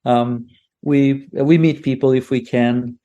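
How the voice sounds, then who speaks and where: A man's voice: mid-range voice, steady tone, slow, mellow, lo-fi sound. A man from Greece, a native Greek speaker, talking casually as a podcast guest, rambling with many restarts. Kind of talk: casual conversation.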